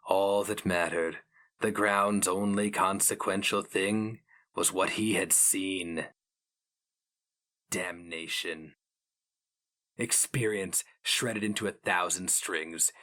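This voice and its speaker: A man narrating a fantasy story as a dramatic reading, grave, measured and theatrical. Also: low voice, bright, forceful tone. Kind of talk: dramatic scripted fiction narration.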